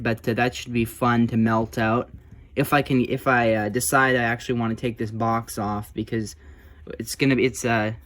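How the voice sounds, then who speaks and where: A man chatting casually and unscripted as he works on a repair, speaking in a halting, muttering way like a hobbyist thinking aloud. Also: medium pitch, a bit bright. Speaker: hobbyist restoring old electronics. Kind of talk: casual unscripted talk while working on a repair.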